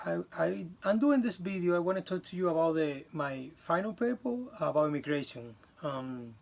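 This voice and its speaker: Slow, gravelly man. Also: fairly high pitch. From Dominican Republic. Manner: halting and earnest, with restarts and some non-native grammar. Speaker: student. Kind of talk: class presentation recorded on video.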